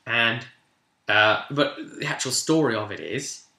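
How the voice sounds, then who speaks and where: A man's voice: medium pitch, lively intonation, unhurried, creaky, sharp tone. A man casually recapping a tabletop role-play session as game master, telling it like a story and breaking off into asides. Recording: roomy, echoey room sound.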